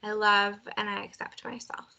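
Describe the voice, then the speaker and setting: A woman leading a guided tapping session, speaking gently and encouragingly and setting out phrases for a partner to repeat. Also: medium pitch, level tone, moderate pace, muffled recording. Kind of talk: guided emotional freedom technique session.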